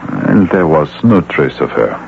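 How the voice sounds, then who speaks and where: An actor playing a courtly, measured police lieutenant in a radio drama. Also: male voice, very deep voice, very animated, vocal fry, exaggerated voice. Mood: grave, careful. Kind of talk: old-time radio drama acting. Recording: muffled, lightly noisy radio broadcast tape.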